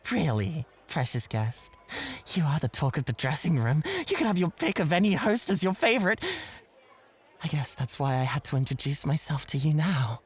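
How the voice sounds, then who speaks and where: A man's voice: on the high side, expressive, normal speed, husky. A man playing a sly, manipulative club host and jealous suitor in an ASMR roleplay, teasing and flirtatious with theatrical emphasis. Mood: sly, persuasive.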